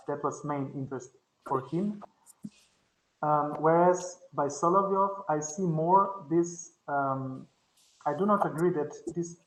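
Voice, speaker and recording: A man whose first language is Slovenian, giving a halting academic comment at a conference, with many restarts. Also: a little high, roomy sound, a little hiss or hum, low-quality recording. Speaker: conference panelist. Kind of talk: academic discussion. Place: conference session.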